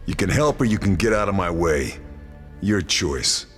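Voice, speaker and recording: A man's voice: low-pitched, expressive, normal speed, faint music behind. A male actor playing a stoic, determined lone soldier in a video game, terse and controlled. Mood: firm. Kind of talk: video game cutscene acting and briefing narration.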